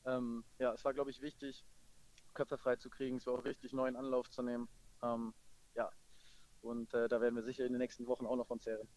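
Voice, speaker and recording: Fast-talking man, even-toned football coach. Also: mid-range voice, poor audio. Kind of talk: post-match phone interview.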